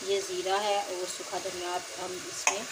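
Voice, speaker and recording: A woman's voice: on the low side, level tone, moderate pace. Woman narrating a home cooking vlog. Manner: homely step-by-step narration while cooking. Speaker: cooking vlogger. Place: home kitchen. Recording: loud kitchen noise. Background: frying, sizzling and stirring sounds.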